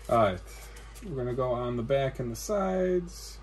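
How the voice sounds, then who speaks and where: A man in his forties, a guitar builder, calmly explaining each step of his work in a matter-of-fact how-to narration in his workshop. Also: mid-range voice, slight background noise. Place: cluttered workshop indoors.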